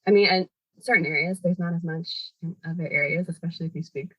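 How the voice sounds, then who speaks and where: A woman's voice: fairly low pitch, steady tone, fast, pushed and bright, slightly rough, no room sound, low-quality recording. A woman guest on a podcast interview, giving long, thoughtful answers full of hedges like "kind of" and "sort of". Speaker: podcast guest, language education organizer. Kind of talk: explanatory conversational interview answers.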